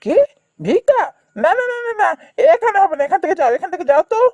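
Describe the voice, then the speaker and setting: A woman's voice: very high voice, sing-song, quick pace, darkish tone, dry recording. A woman voicing a harsh, domineering, miserly elder brother in a cartoon fairy tale, scolding and sneering in repeated outbursts. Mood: irritated, dismissive.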